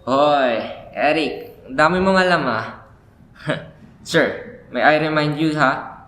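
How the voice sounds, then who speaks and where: A man's voice: on the high side, takes it slowly, a bit bright. A man acting a cocky law graduate who teases his rival, switching between Tagalog and English. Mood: boastful. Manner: boastful, teasing, mixing Tagalog and English. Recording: echoey room.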